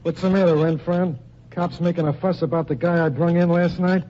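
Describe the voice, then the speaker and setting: A man's voice: on the high side, fairly even intonation, brisk, close to an everyday voice. A man playing a tough-talking gangster. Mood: defensive. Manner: blunt street slang and ungrammatical speech. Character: tough, defensive male nightclub gangster. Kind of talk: 1940s radio acting. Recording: dull lo-fi 1940s radio recording, faint hiss.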